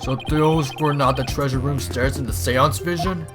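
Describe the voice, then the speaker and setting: A player reading the part of an earnest young defense lawyer who pleads with his client. The pitch stays ordinary and the tone sincere. Clear game music sits behind the voice. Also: fairly even intonation, normal speed, lightly acted. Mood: thoughtful. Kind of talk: reading game dialogue aloud.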